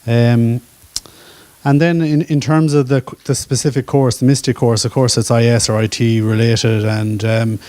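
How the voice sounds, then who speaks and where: A man, a current master's student, speaking on a panel in a relaxed, hesitant way with many fillers. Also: medium pitch, moderate pace, dry recording. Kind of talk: panel testimonial. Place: university event hall.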